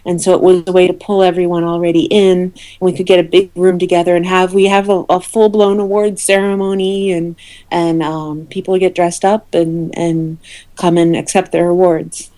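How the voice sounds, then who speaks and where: A woman's voice: fairly low pitch, flat delivery, normal speed, warm tone. A woman being interviewed as a guest, explaining casually and often hesitating and rephrasing mid-sentence. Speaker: interview guest, awards committee head. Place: remote call into a podcast.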